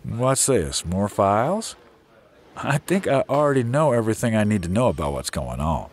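An actor playing a weary, skeptical sheriff in an audio drama. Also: male voice, fairly low pitch, sing-song, normal speed. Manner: weary, sceptical. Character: weary, skeptical small-town American sheriff.

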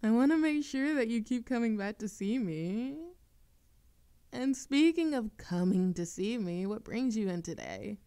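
Slow-talking, teasing young barista played by a woman. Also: a little high, expressive, dark tone, clear, resonant tone. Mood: playful, teasing.

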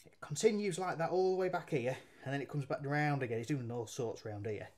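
A man's voice: medium pitch, brisk, a little mellow. A man, chatting casually as he demonstrates woodworking at a bench in his workshop, swearing now and then and using local slang. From England. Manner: talks while handling and planing wood at a bench. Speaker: woodworking teacher. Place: indoor workshop with brick walls.

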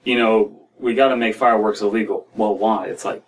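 American male podcast host, young, lowish voice, loose conversational riffing, mildly distant mic. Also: steady tone, a little fast. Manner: rambling, peppered with "like" and "you know". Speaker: podcast host commenting on stories. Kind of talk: casual off-the-cuff commentary. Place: small room at home, indoors. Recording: distant mic with room noise.

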